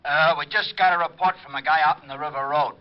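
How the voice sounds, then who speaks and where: An actor playing a dim, excitable police sergeant in 1940s radio drama style. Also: male voice, fairly high pitch, fast, lightly acted. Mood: urgent. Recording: dull old radio recording.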